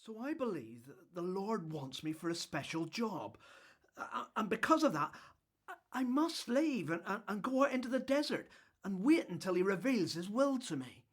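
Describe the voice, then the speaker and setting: Earnest prophet-to-be for biblical radio drama male actor: humble, hesitant, widely varied pitch, dry studio sound. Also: very animated, moderate pace, breathy edge, no echo, full character voice. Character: earnest, humble grown son called to be prophet. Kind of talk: radio Bible drama acting.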